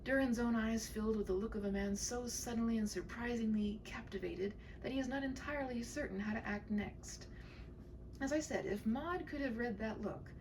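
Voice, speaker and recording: A woman reading a story aloud in an expressive, dramatic way, tender and hushed, with pauses for effect. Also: a little high, recorded from a distance, noisy background. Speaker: storyteller reading her own short story.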